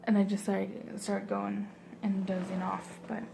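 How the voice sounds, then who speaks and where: A woman's voice: medium pitch, completely flat delivery, unhurried, creaky, background noise. Young woman whispering a rambling story. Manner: soft whisper, rambling with many fillers.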